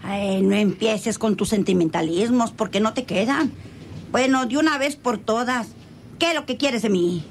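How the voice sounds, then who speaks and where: Actress as scornful Mexican woman, theatrical, sharp-tongued, fairly high voice, faint noise, radio drama. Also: normal speed, natural voice. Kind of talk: radionovela acting.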